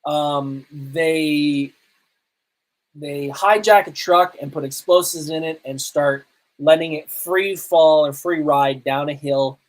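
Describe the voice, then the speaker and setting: Man giving a casual, halting movie review. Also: fairly high pitch, fairly even intonation. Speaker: podcast host.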